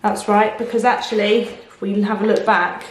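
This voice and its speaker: A woman reading a picture book aloud to children, expressive, with explanations and questions to the listener. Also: mid-range voice, normal speed. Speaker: children's storyteller. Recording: echoey room.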